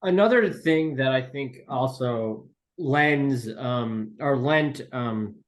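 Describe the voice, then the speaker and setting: Guy being interviewed, mid pitch, kinda hesitant, keeps circling back on what he says. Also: slow pace. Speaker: podcast guest, audio drama writer. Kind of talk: casual interview talk.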